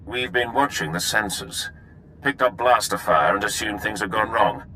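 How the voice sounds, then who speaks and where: A terse male sergeant in a sci-fi game. His low voice has a hard bright edge, cool and clipped, over faint noise. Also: normal speed, poor audio. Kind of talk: video game voice acting.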